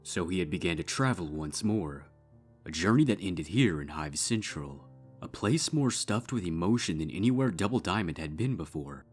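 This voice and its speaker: A man reading dark fiction as a scripted narrator, measured and with dramatic pauses. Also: sits low, expressive, husky. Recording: music behind the voice.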